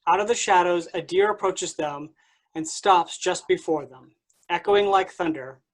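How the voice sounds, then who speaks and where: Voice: mid-range voice, measured pace, poor audio. A narrator reading scripted stage directions for a staged play.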